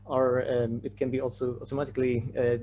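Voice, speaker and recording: A man's voice: medium pitch, monotone, fairly quick, mellow, highs cut off. Hesitant Polish-accented man, noisy line. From Poland. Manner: hesitant, repeats words and stammers over phrase starts. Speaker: conference presenter. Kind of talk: slide presentation lecture. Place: remote online presentation with shared screen. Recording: clearly noisy online talk with hum.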